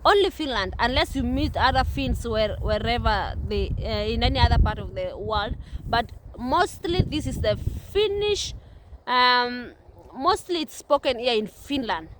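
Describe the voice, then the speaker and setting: Kenyan woman, young, high voice; earnest vlogger, restarts a lot, recorded outside. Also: lively intonation, unhurried, a little hiss or hum. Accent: Kenyan English. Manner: repetitive, earnest, many restarts. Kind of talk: casual talk to camera. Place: outdoors at a playground.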